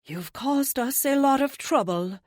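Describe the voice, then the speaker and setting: A woman's voice: sits high, animated, unhurried, no echo, studio quality, voice pushed up. Woman acting stern, haughty villainess witch. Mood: scornful. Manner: stern, scornful. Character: menacing silver-haired witch villain woman, cold and haughty.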